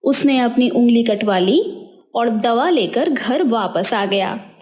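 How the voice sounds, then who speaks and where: A woman's voice: on the high side, expressive, slow, darkish tone. A woman narrating a scripted cartoon moral story as its storyteller.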